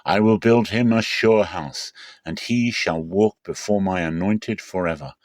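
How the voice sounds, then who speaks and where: A man's voice: sits low, a little slow, no echo. A man reading the Bible aloud as a dramatic scripted narration. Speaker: Bible reader.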